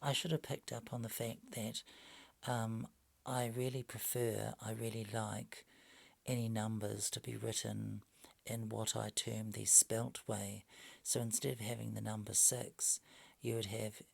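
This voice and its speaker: A quiet, soft-spoken man muttering to himself at home, grumbling mildly and trailing into whispers. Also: fairly low pitch, level tone, measured pace, gravelly, no echo. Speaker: language video maker. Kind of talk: casual talking to himself while working. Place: home at night. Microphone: phone.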